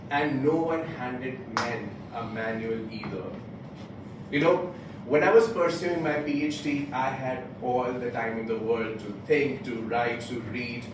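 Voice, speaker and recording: A man gives a motivational speech in a deliberate, rhetorical way, pausing between short phrases. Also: medium pitch. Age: late twenties. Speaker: club speaker giving a prepared speech. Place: indoor club meeting room, picked up from a distance. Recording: very echoey room, distant mic, background noise.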